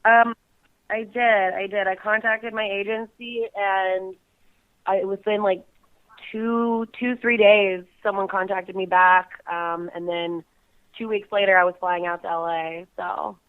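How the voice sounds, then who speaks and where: A woman's voice: medium pitch, fairly even intonation, measured pace, clear, resonant tone. Giggly woman on a tinny phone line. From Bay Area, California. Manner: giggly, laughs often between phrases. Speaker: guest. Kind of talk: casual phone interview. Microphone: phone call.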